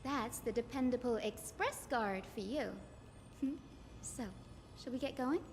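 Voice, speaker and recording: Gentle, high-voiced young priestess, game music behind. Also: female voice, measured pace. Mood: sweet, playful. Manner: soft-spoken, graceful, polite. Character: gentle young priestess descended from sky people. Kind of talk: video game voice acting.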